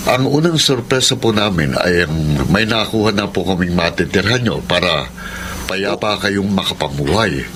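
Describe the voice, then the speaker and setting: A man's voice: low voice, lively intonation, normal speed, background noise. A man playing a kind, formal charity program host in a radio drama. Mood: reassuring. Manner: formal, reassuring.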